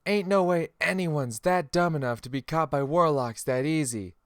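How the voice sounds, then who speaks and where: A man's voice: on the high side, normal speed, smooth, no room sound, studio quality, pitched above the performer's own voice, natural voice. A man voicing a friendly, cocky veteran spearman in a fantasy game audiobook, with a casual, folksy, self-assured manner. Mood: amused, casual. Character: friendly, cocky male spearman, seasoned gamer.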